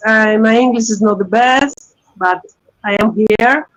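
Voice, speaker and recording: A woman from Colombia with a Colombian Spanish accent, a Spanish speaker talking halting, apologetic English on a phone as a guest in a casual chat. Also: a little high, rough recording. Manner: halting, searching for words, apologetic.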